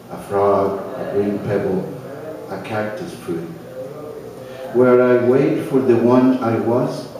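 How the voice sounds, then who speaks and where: An older man from Chile, a native Spanish speaker with a Chilean accent, hesitantly introducing himself and then reading his poetry at an open mic. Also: on the low side. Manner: hesitant, halting with many fillers. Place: cafe open mic, roomy. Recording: echoey cafe from far off, with crowd.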